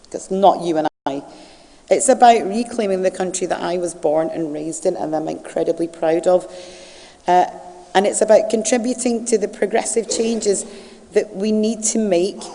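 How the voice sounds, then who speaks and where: A woman's voice: mid-range voice, dark tone, big-room sound. A woman with a Scottish accent gives an earnest campaign speech as a parliamentary candidate, with many fillers and restarts. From Scotland.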